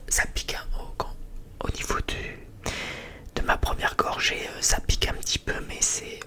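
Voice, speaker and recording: Whispering ASMR creator, rambling and hesitant. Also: pushed and bright. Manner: rambling, hesitant, often repeating words. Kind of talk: whispered ASMR casual chat.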